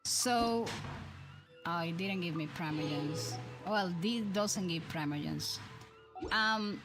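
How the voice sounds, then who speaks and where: A woman's voice: lowish voice, slow pace. A woman hosting a livestream, thinking aloud in casual, chatty and rambling commentary as she plays a game. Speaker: livestream host. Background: background music.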